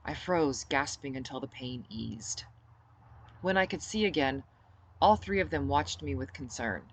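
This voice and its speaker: A woman with a low voice reading her own novel aloud as first-person audiobook narration. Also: lively intonation, unhurried, low-quality recording.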